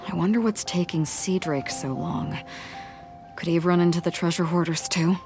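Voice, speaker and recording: A woman's voice: lowish voice, fairly even intonation, normal speed, music in the background, lightly acted. An actress playing a bold, blunt female ship captain in a video game, confident and commanding.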